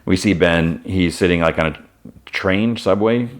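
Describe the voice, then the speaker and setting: Very low-voiced man recapping an episode. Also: unhurried. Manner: retells scenes, briefly voicing characters in quotes; loose, with restarts and "he's like" quotes. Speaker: podcast host.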